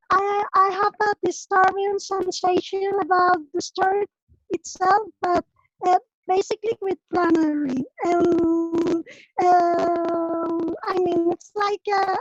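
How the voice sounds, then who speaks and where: A woman's voice: very high voice, dry recording. A woman speaking English as a second language, hesitantly giving her opinion on a video call and searching for words. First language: not English. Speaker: book club participant. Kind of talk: halting discussion in a second language. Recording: dull video call audio.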